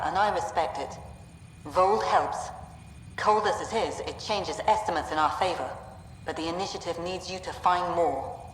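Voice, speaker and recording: A woman's voice: on the low side, lightly acted. An actress playing a brusque, pragmatic female colony director in a video game, blunt and businesslike. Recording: echoey hall, distant, faint background noise.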